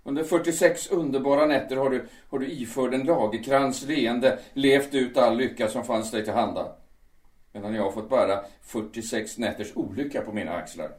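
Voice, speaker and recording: A man voicing a bitter understudy who has turned to revenge, speaking with cold deliberation at a middling pitch. The voice sits back from the mic in an echoey room, so it carries a distant, roomy sound. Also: natural voice. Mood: bitter fervor. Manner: cold and deliberate, swelling into fervent outbursts. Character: bitter vengeful male understudy, calm and menacing. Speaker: understudy singer in a theatre. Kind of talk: amateur audio drama acting.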